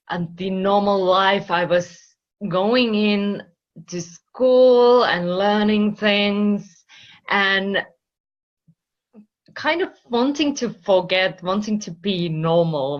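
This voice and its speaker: A woman with a Finnish accent, a spiritual counselor telling her story in a podcast interview, pausing and searching for words. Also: fairly low pitch, a bit bright. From Finland. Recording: dull lo-fi sound.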